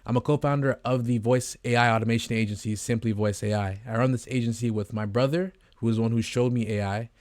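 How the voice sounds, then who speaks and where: A man's voice: mid-range voice, fairly even intonation, fast, few pauses, darkish tone, dry recording. An eighteen-year-old man from Canada talking casually to camera in a rambling, earnest way, with many fillers and restarts. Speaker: vlogger, agency co-founder. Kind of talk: casual talking-head vlog.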